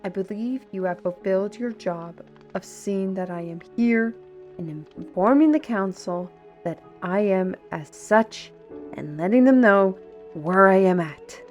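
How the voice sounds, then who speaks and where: A woman playing a proud, fiery, defiant artisan steward in a fantasy audio roleplay, formal and deliberate. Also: medium pitch, expressive, a little mellow, soft background music, close to an everyday voice. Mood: indignant.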